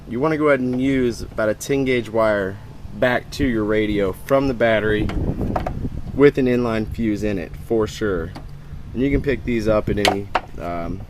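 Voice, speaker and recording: A man giving an informal, plainspoken how-to walkthrough as a DIY video host, filmed in and around a pickup truck. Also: fairly low pitch. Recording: clear background noise, vehicle ambience.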